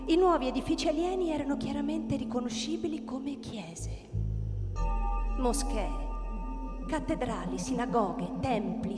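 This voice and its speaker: A woman reads scripted literary narration with measured, storytelling phrasing. Her voice is a little high. She sounds set in a long reverb, with music playing loudly behind her. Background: loud music.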